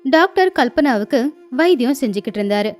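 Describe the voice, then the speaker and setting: A woman's voice: high voice, expressive, fairly quick, a little mellow, faint music behind. A woman narrating a scripted moral tale as a storyteller.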